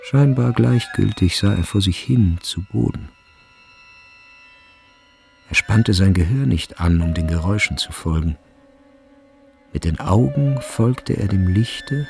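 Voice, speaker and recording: Creaky-voiced man narrating a literary radio play. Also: fairly low pitch, slow, pauses often, darkish tone, soft background music.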